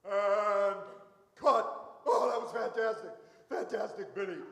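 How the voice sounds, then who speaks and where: A man's voice: high voice, steady tone, a little slow, fairly bright tone. A man playing a smug, pushy church chairman turned vlogger in a live radio comedy, brash and condescending. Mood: brash hype. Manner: brash, condescending, showbiz patter. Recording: echoey hall with long reverb.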